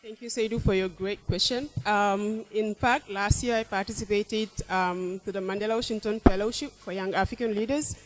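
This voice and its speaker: A young woman from Senegal, an English teacher, answering interview questions thoughtfully, with restarts and soft laughs. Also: mid-range voice, steady tone, moderate pace, hardly any pauses, poor audio. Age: young adult.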